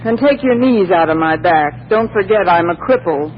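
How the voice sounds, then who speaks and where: An actress playing a worldly, wisecracking roommate, bossy and drawling, in 1940s radio comedy style. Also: female voice, on the low side, animated, normal speed, lightly acted. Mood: dry, grumpy. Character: wisecracking, worldly roommate, a thrice-married woman. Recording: dull, noisy 1940s radio recording.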